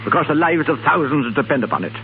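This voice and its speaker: A man's voice: a little high, lively intonation, quick pace, narrow, tinny audio. Tired old lord, stern father, 1930s radio drama, thin hissy recording. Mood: grave, insistent. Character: weary older English lord, stern, discouraged father.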